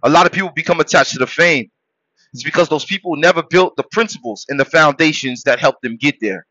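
Preachy high-voiced man, bright and forceful. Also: fairly quick, dry recording, lo-fi sound. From Haiti. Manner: preachy, exhorting, swings from loud shouts to low murmurs. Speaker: podcast host. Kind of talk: motivational monologue.

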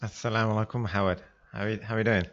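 Gravelly low-voiced English man. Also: rapid-fire, lo-fi sound. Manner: relaxed, conversational, with Arabic greetings. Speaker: studio guest. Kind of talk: casual radio interview. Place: radio studio.

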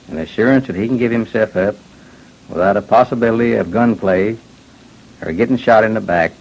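A man's voice: fairly low pitch, darkish tone, highs cut off. A man giving a plain prepared statement on an old radio recording. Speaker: interviewee making a statement.

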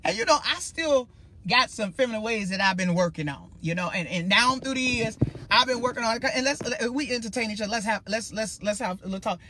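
A man with a naturally high-pitched, bright, ringing voice talks straight to his livestream audience. He preaches in a loose, emphatic way, rambling and circling back as he speaks his mind. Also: lively intonation, brisk, a little hiss or hum. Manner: emphatic, rambling, addresses viewers directly. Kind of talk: casual livestream talk.

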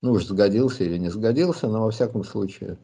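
A man answering listeners' questions in a reflective monologue, thoughtful and pausing often with hesitations. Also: lowish voice, creaky, mellow, slightly rough. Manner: thoughtful, pauses with many hesitations.

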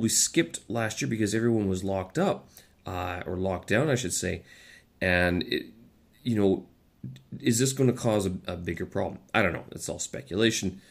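A man with a stuffy nose from a head cold, rambling in a casual vlog with many fillers and restarts. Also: deep voice. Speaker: vlogger. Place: living in China.

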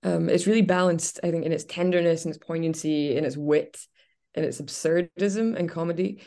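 A woman's voice: low voice, fairly even intonation, brisk, gravelly, warm tone. A woman on a community radio show reviewing a film in casual, enthusiastic talk with many fillers. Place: radio studio.

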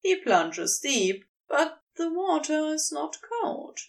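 A woman's voice: sits high, big pitch swings, takes it slowly, gravelly, far from the mic. A woman reading scripted fiction aloud as a narrator. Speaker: podfic reader.

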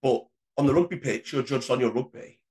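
A man's voice: medium pitch, brisk, darkish tone. A man chatting on a podcast, talking in a reflective way about how his stammer feels. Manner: reflective, speaks of own stammer. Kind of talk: casual podcast chat.

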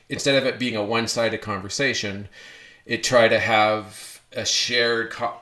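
A man's voice: lowish voice, pushed and bright. A man hosting a podcast conversation, rambling with many restarts and self-corrections. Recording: echoey room.

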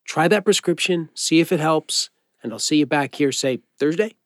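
An actor plays a kindly male doctor in an audio drama. His voice sits a little high, measured and reassuring, in a dry close recording. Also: dry recording, close to an everyday voice. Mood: reassuring, careful. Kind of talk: naturalistic audio drama acting.

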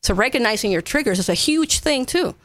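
A woman's voice: a little high, expressive, brisk, fairly bright tone, no room sound. A woman from Ecuador, a native Spanish speaker, talking earnestly as an interview guest and explaining her work in a conversational way with frequent check-in tags. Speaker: guest, massage therapist.

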